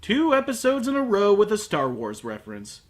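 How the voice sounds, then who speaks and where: A man's voice: high voice, wildly swooping pitch, moderate pace, faint music behind. A man hosting a comedic anime review, sarcastic and profane, ranting at the show.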